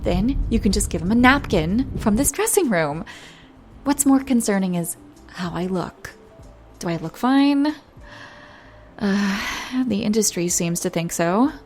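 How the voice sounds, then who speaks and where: A woman playing a nervous, lovesick young pop star in an intimate audio roleplay, speaking in a halting, flustered way. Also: mid-range voice, expressive, soft background music, lightly acted. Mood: giddy, anxious. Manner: flustered, halting, self-interrupting, breathy sighs.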